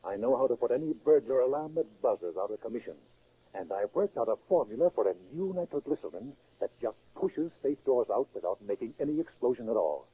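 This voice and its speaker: An actor playing an earnest, disillusioned preacher turning to crime in a 1930s radio drama, speaking deliberately and precisely. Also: male voice, mid-range voice, very animated, warm tone, slightly rough. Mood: confident. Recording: muffled, scratchy 1930s radio recording.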